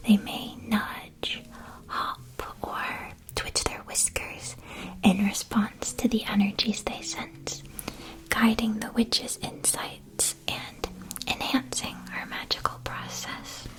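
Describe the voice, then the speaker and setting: A woman playing a gentle, reassuring witch shopkeeper in an ASMR roleplay, whispering in a soft, soothing way. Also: mid-range voice, flat delivery, takes it slowly, natural voice, low-quality recording. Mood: gentle, informative.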